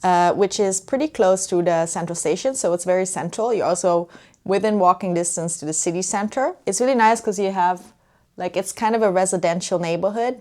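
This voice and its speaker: A woman's voice: fairly low pitch, a little mellow. A young woman from the Netherlands talking casually and easily to camera for a travel vlog, indoors by a window. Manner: chatty, easygoing, laughs often. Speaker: travel vlogger.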